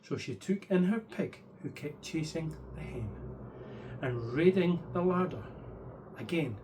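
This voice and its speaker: Slow, high-voiced male bedtime story reader. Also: lively intonation, a little mellow, slight background noise. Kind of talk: expressive bedtime storybook narration.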